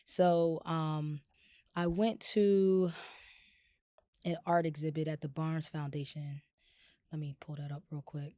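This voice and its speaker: A woman with a slightly low, cold-roughened raspy voice talks casually on a podcast. She meanders through her thoughts with plenty of fillers. The sound is close and dry. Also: slow pace, long pauses, no room sound. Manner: relaxed, rambling with many fillers. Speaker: podcast co-host. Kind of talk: casual chat.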